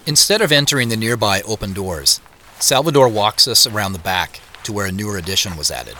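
An actor playing an observant, wistful grown man, narrating his story in a measured first person for an audio drama. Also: on the low side, animated, pushed and bright, a little hiss or hum. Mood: quietly observant. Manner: measured, descriptive. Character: Joseph, observant, wistful grown man back visiting a farm.

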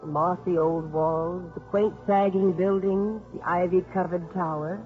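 A woman's voice: lowish voice, unhurried, soft background music, lightly acted. Actress as wistful woman narrator, vintage radio. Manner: wistful, expressive. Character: wistful, kindly female social worker narrating. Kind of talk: 1950s radio drama narration. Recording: muffled 1950s radio broadcast.

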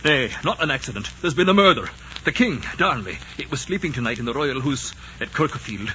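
A man's voice: medium pitch, animated, a little fast, sharp tone. An actor playing a lord's loyal male companion, speaking urgently in dramatic 1940s radio style. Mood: grave urgency. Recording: muffled, hissy 1940s radio recording.

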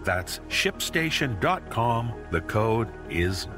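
A man delivering a smooth, formal, scripted podcast ad read with fluent phrasing. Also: medium pitch, sing-song, slow pace. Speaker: podcast ad reader. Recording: background music bed under voice. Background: spooky atmospheric music.

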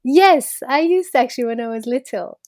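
A woman's voice: high voice, expressive, brisk, a little mellow, no echo, studio quality. A woman in her thirties from Iran, speaking as an interview guest in a reflective, conversational way and laughing easily. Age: 30s.

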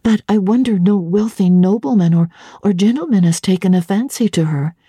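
A woman's voice: medium pitch, moderate pace, mellow, slightly rough, dry recording, studio quality, voice pushed up, natural voice. A woman voicing an earnest young governess in a classic audiobook, politely inquisitive and eager with her questions. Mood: eager, probing. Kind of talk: dramatic audiobook reading of classic literature.

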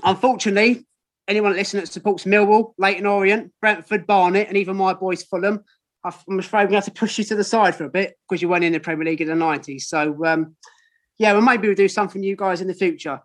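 A man's voice: sits high, steady tone, fast, a bit bright. A man in his thirties with a London accent, hosting a casual football podcast with lots of ums and mates. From London, England. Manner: chatty, matey, lots of ums. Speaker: podcast host. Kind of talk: casual chat.

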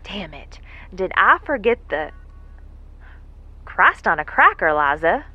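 Tired, salty woman space engineer: lowish, unhurried, self-amused muttering, music underneath. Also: sing-song, dull audio, full character voice. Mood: wry, tired. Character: weary, salty, wisecracking woman moon engineer working alone. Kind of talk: audio drama monologue.

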